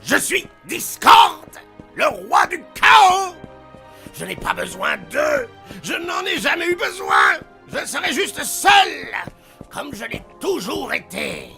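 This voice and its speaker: A performer voicing a theatrical, grandiose chaos spirit in an animated cartoon dub, mocking and self-pitying, bitter and wounded. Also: high-pitched, slow pace, gravelly, soft background music, exaggerated voice. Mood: bitter, defiant.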